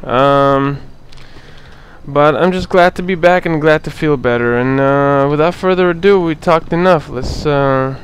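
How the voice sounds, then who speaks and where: A man hosting a let's play, chatting casually over gameplay, hoarse from a sore throat, laughing often. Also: a little high, a little slow.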